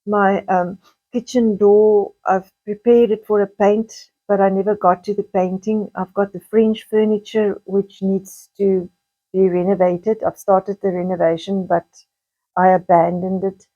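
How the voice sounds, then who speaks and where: A woman with a South African accent and a slightly croaky voice, chatting casually in a rambling vlog monologue, repeating words and drifting into asides. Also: medium pitch, level tone, a little slow, highs cut off. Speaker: video blogger.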